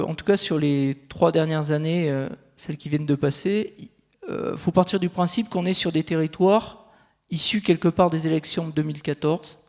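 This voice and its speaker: A man's voice: a little high, a little mellow. A man giving an explanatory conference presentation, laying out his points step by step. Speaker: territorial consultant presenting at a conference. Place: large reverberant conference venue. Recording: echoey hall with long reverb.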